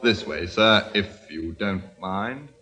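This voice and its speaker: An actor playing a polite but firm detective in a radio drama, formal. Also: male voice, low voice, slow, sharp tone, heavily acted. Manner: deferential, formal. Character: polite, firm male plainclothes detective inspector. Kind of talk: old-time radio drama acting.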